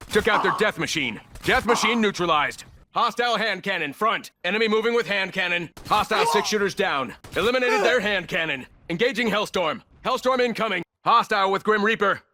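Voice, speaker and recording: An actor playing a terse, cold special forces operator in a military shooter game, clipped and businesslike. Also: male voice, sits high, bright, forceful tone, slight background noise. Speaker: video game operator character.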